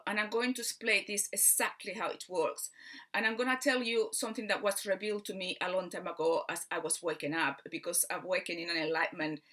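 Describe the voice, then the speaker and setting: A woman with a Spanish accent speaks in a bright, clear tone, sitting a little low in her range. She talks like a mind coach giving a spiritual lesson, earnest and patient, pausing on fillers as she explains things step by step. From Spain. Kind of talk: spiritual teaching talk.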